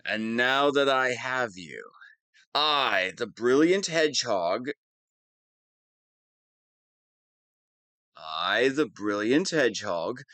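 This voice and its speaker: A man's voice: medium pitch, expressive, slow pace, pushed and bright, no echo. A man playing a whiny, pompous wannabe supervillain who is easily flustered, in a scripted comedic audio roleplay. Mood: pompous, grandiose.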